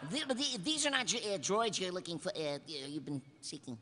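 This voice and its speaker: A man's voice: sits high, big pitch swings, brisk, sharp tone, exaggerated voice. A man voicing a flustered cartoon pig with a heavy stutter in a live comedic reading. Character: stammering, flustered cartoon pig as old mentor. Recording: live stage microphone, faint noise.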